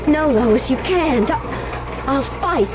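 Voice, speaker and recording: A woman's voice: sits high, lively intonation, slow. An actress playing an innocent, trusting young woman in an old-time radio mystery, with a hesitant, girlish manner. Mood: pleading, frightened. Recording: dull, noisy old radio recording.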